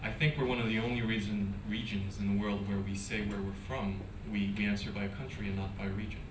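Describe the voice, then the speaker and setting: A lower-voiced man talks on a panel in a conference hall, hesitant and full of fillers and restarts. He is picked up from a distance, with echo and noise around him. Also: level tone, a little fast. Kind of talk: panel discussion.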